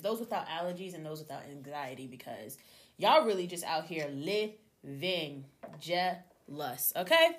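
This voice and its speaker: A young adult woman hosting her own podcast, talking casually and animatedly to her listeners, her voice slightly hoarse from a cold. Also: lowish voice. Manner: chatty, animated, addresses listeners as y'all. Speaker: podcast host. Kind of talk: casual solo podcast chat.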